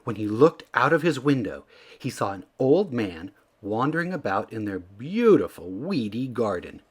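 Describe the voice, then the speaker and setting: A man reading a children's story aloud in an expressive storytelling style. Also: medium pitch, moderate pace, slightly rough. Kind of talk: expressive scripted storybook narration.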